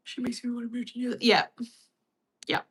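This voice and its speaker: A woman's voice: fairly high pitch, monotone, fast talker, fairly bright tone, husky. An Australian woman chatting casually on a livestream, reading and answering viewer comments. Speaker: livestream host.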